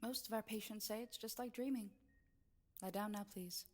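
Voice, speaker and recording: An actress playing a brisk, reassuring clinic nurse in a radio drama, giving instructions in a businesslike way. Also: female voice, medium pitch, fairly even intonation, close to an everyday voice. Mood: polite, routine. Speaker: nurse or technician. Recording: long reverb.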